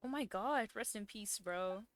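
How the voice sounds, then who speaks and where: A woman's voice: medium pitch, measured pace. A woman gamer giving casual, slangy live reactions, blunt and often incredulous. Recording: game music behind commentary.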